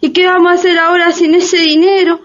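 An actress playing a naive, plain-spoken working-class housewife in radio play dialogue. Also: female voice, extremely high pitch, level tone, fairly quick, a bit bright. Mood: distressed. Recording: dull lo-fi radio drama.

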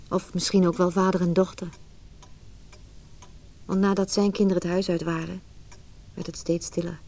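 Mellow, stifled wife of forty. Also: female voice, fairly low pitch. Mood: reflective. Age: middle-aged. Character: stifled forty-year-old wife, conflicted yet resolute. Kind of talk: radio drama acting with reflective narration.

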